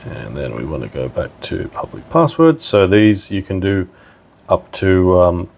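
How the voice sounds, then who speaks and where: Man, low-pitched voice, creaky fry, informal hands-on tutorial delivery, plain-spoken. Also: wildly swooping pitch, measured pace, darkish tone, slight background noise. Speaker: product tutorial presenter. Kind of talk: casual step-by-step hands-on technical demonstration.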